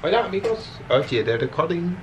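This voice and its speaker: A man's voice: fairly high pitch, very animated, fast talker. A young man chatting casually on a vlog, playful and joking. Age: young adult. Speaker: boyfriend. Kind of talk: casual chat. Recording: dull camera audio with clear noise.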